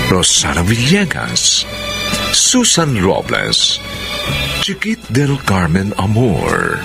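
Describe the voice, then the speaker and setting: Deep filipino announcer guy, slow and dramatic, formal radio drama outro. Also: vocal fry.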